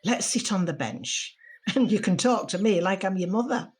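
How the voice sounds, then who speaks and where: A woman playing a gentle, reflective grandmother in an audio drama, speaking in a measured, heartfelt way, encouraging and tender. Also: medium pitch, expressive, breathy edge. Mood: warm, chatty. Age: older. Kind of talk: audio drama monologue and dialogue. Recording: noticeable background noise with birdsong.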